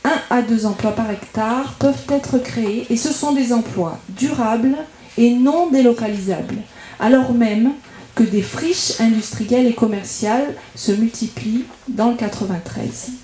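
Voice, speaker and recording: A woman's voice: fairly high pitch, unhurried, warm tone. A woman with a French accent from France delivers a prepared political speech at a public debate, methodically arguing point by point as a local campaigner. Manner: argumentative, methodical, enumerating points. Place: indoor meeting room at public debate. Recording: echoey room at public meeting.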